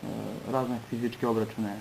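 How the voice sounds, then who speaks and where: Man from Serbia, personal testimony, earnest, flat even delivery, middle pitch, short phrases with pauses. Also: slight background noise, poor audio.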